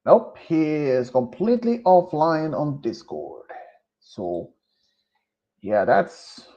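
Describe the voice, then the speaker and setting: Slow, halting Swedish-accented man. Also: a little high, huge pitch swings, mellow. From Sweden. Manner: hesitant and rambling, with many pauses and fillers. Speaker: streamer. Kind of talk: casual live-stream chat. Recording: dull, lo-fi streaming mic.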